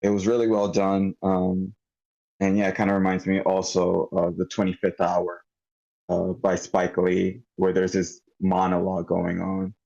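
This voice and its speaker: A man with a very low voice offers casual critique in an online meeting. He is hesitant, feeling out his thoughts aloud. The audio is dull and lo-fi. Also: completely flat delivery, a little slow, gravelly, warm tone. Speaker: participant giving script feedback.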